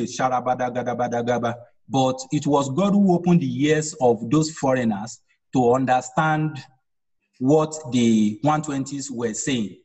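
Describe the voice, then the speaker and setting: A man's voice: a little high, slightly rough, big-room sound, rough recording. A man whose first language is Yoruba teaches an online Bible study, explaining verse by verse and asking rhetorical questions.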